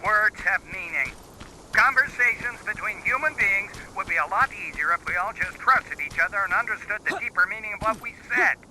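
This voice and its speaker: High, piercing, paranoid doctor. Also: male voice, animated, fairly quick, bright, forceful tone, a little hiss or hum, heavily acted, rough recording. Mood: mocking, pedantic. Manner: pedantic, suspicious, theatrical. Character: paranoid, fussy, testy male doctor in hiding. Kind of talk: video game voice acting.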